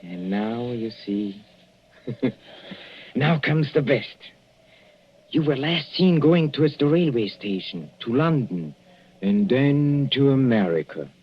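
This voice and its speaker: An actor playing a gloating, murderous older professor in 1940s radio suspense style, mock-courteous and savoring every hesitation. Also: male voice, mid-range voice, lively intonation, slow, long pauses, breathy edge. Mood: gloating, vengeful. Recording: muffled, dull old radio recording.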